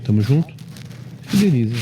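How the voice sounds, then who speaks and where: A man's voice: mid-range voice, sing-song, a little fast, warm tone, soft background music. A man in his thirties, a businessman, speaking in an assertive, slangy and defensive way in a casual monologue to camera. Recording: distant mic with faint vehicle noise.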